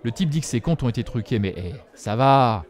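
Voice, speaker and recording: A man's voice: medium pitch, animated, a little fast, a little mellow, lightly acted. An actor playing a chatty, mocking male bar regular who comments on the news in colloquial slang in an audio drama. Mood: mocking gossip. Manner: colloquial slang, mocking, tags sentences with questions. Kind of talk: audio fiction acting.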